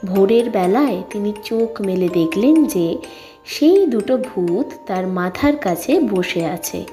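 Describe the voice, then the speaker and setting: A woman's voice: mid-range voice, animated, slow. A woman narrating a scripted story expressively as the storyteller. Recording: loud music behind the narration.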